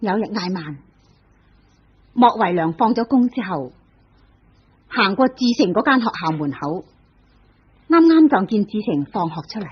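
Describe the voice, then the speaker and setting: A woman's voice: medium pitch, sing-song, moderate pace, pauses often, slightly rough. A woman with a Hong Kong Cantonese accent narrating an old radio drama in a storytelling manner. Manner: storytelling, lilting delivery. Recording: dull old radio broadcast.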